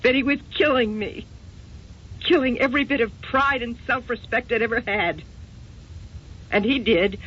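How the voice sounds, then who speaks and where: An American woman playing a wounded, evasive wife haunted by lost love, speaking in an emotional, halting way in an old-time radio drama. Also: on the high side, fairly bright tone, close to an everyday voice. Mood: wounded, anguished. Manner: emotional, halting, old-fashioned genteel exclamations. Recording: dull, noisy old radio recording.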